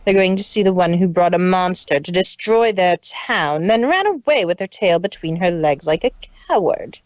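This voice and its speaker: A woman voicing a cruel, scheming cartoon pony sister with a deliberate, ice-cold, menacing delivery. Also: fairly low pitch, lively intonation, no room sound, natural voice, poor audio. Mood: scornful.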